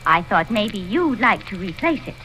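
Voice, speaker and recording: A woman's voice: mid-range voice, very animated, normal speed, sharp tone. An actress playing a deferential but sly housemaid, wheedling her mistress in an old radio drama. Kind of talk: 1940s radio acting. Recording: faintly hissy old radio recording.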